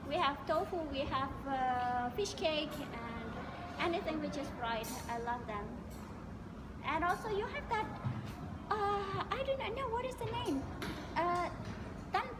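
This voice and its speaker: A woman with an Indonesian accent answering interview questions casually, explaining in an animated way and sometimes searching for words. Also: high-pitched, unhurried, over loud music. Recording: echoey hall with loud background noise.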